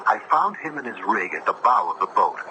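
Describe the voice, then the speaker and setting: An actor playing an earnest, compassionate young judge who tells a ghostly tale in formal, solemn radio drama style. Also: male voice, fairly low pitch, very animated, fairly quick, lo-fi sound. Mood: grave, suspenseful. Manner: formal, literary, solemn storytelling. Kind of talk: 1970s radio drama narration and acting. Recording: noisy vintage radio broadcast recording.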